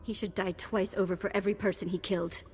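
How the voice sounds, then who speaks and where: A woman's voice: medium pitch, flat delivery, fast talker, lightly acted. Regal woman playing a vengeful princess, echoing hall. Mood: vengeful, resolute. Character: proud, vengeful young princess, regal and solemn. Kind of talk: video game voice acting. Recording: reverberant hall, faint music behind.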